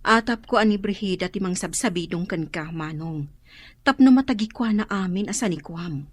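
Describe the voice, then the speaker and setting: A woman's voice: medium pitch, normal speed, no room sound, lightly acted. An actress playing a worried woman caring for her older brother, speaking earnestly in a radio drama.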